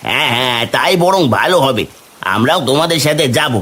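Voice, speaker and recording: Guy doing defensive bengali veg seller, cartoon, raspy, argues back, slightly high voice. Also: expressive, fairly quick, bright, forceful tone. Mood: agreeable. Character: stubborn, defensive village vegetable seller. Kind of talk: cartoon dialogue acting.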